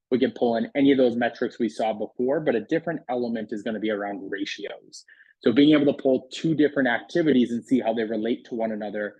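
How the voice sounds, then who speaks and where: A man talks through a software demo in a conversational, explanatory way. His mid-pitched voice is a little husky, and the close recording is very dry. The delivery is casual sales patter. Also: dry recording, highs cut off. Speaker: software product demonstrator. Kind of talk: casual product demo walkthrough voice-over.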